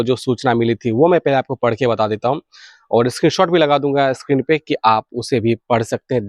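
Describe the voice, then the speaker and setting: A man's voice: medium pitch, dry recording. A man with an Indian accent and a mid-range voice speaks as the host of a hobby channel. He delivers an informal update, explaining things and often restating them in other words. The sound is dry, with hardly any room in it. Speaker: video channel host sharing radio hobby news. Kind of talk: informal news update to viewers.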